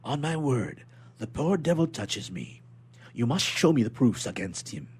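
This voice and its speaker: A man playing a formal, husky prison inspector. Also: mid-range voice, very animated, darkish tone, exaggerated voice. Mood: guardedly sympathetic. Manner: formal, brisk, questioning. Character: measured, authoritative prison inspector, aloof yet somewhat sympathetic man. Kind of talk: dramatic audiobook character reading.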